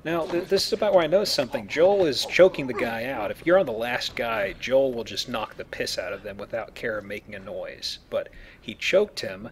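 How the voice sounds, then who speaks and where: Casting: man, Let's Play host, average pitch, informal walkthrough delivery with dry jokes, light game sound underneath. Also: brisk, slight background noise. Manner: joking, explanatory. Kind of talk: casual game commentary. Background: video game sounds.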